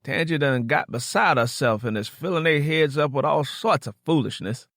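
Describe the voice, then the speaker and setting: A man's voice: a little high, moderate pace, a bit bright, dry recording, clean studio sound. Man voicing a scolding old Southern grandmother. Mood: approving, then scolding. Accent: American South. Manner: scolding, blunt. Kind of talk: audiobook character dialogue.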